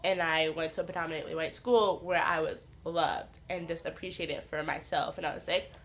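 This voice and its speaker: A woman's voice: deep voice, fairly even intonation, fairly quick, fairly bright tone. A woman in her twenties from the northeastern United States, a podcast guest telling frank personal stories, laughing often and acting out what others said. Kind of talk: candid casual chat.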